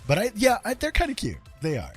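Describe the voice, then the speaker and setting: A man hosting a casual livestream, chatting and explaining things in halting, carefully pieced-together phrases. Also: sits high, wildly swooping pitch, breathy edge, soft background music. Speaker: art livestream host.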